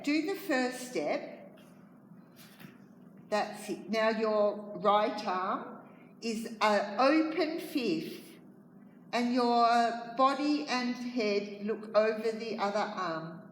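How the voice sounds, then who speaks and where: A woman's voice: fairly high pitch, animated, very slow, a little hiss or hum. A dance teacher from Australia leading a class of girls, counting steps aloud and calling out corrections to her pupils. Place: dance studio. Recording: echoey studio, teacher away from mic.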